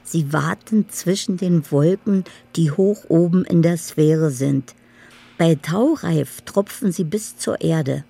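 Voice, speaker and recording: Older woman, dreamy, poetic, dark husky timbre, slow measured monologue, radio drama. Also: sits low, exaggerated voice. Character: dreamy older woman in assisted living, poetic letter writer and reminiscer.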